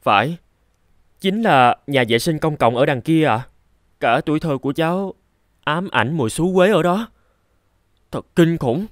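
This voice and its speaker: A man voicing a poor, earnest teenage boy with big dreams in an animated moral tale, speaking with dramatic emotion. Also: fairly high pitch, unhurried, long pauses, dry recording. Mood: ashamed, hesitant.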